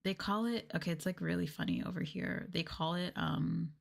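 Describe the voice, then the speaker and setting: Soft low-voiced woman, husky ASMR. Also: steady tone, moderate pace. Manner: soft, intimate, at times dropping to a whisper. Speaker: streamer, VTuber. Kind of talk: soft-spoken ASMR with casual chat.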